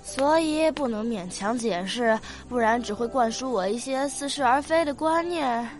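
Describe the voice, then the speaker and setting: A woman playing a bright, curious child on a spaceship in a sci-fi audio drama, asking questions precisely and excitably. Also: a little high, moderate pace, close to an everyday voice. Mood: skeptical. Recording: loud music bed behind voice.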